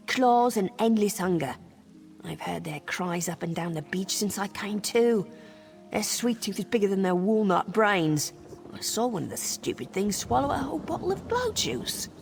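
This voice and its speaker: Fantasy game marine sergeant, female, earnest, worried, resolute, creaky voice, faint music behind. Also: medium pitch. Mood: wry, determined. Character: stranded elf marine sergeant, resolute, worried for her squad. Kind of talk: fantasy video game dialogue.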